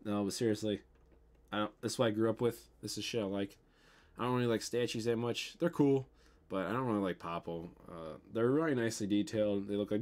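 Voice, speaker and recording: A young man with a Midwestern accent, chatting loosely on a livestream from his bedroom and answering viewer comments, with lots of ums and casual swearing. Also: fairly low pitch. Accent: Midwestern American. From American Midwest. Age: young adult. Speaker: toy unboxing livestream host. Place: bedroom, indoors.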